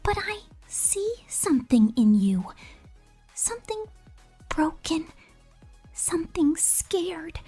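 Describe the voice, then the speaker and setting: A woman voicing a sadistic, possessive killer robot in an ASMR roleplay, breathy and often whispering, with a menacing, taunting, drawn-out delivery. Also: extremely high pitch, a little mellow. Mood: quietly menacing. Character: sadistic, possessive female killer robot drone toying with prey.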